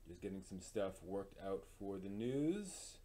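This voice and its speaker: A man's voice: low-pitched, big pitch swings, measured pace, dark tone. Casual man hosting a news live stream. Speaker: live stream host presenting anime news.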